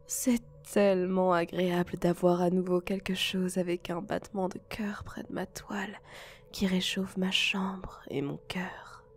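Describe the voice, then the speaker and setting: A woman voicing a seductive, possessive spider-woman monster in an ASMR roleplay, coaxing softly and often whispering. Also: lowish voice, flat delivery. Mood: soothing, possessive. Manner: breathy whispering, slow coaxing delivery.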